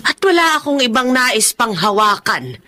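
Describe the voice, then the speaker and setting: A woman's voice: on the high side, big pitch swings, normal speed, pushed and bright. A female actor playing a devoted, worried mother who pleads emotionally in a radio drama. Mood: tender worry. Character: anxious middle-aged mother, devoted and tender.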